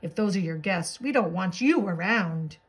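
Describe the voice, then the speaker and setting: A woman's voice: on the low side, expressive, normal speed, slightly rough. Woman voicing stern, disapproving storybook moose. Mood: indignant.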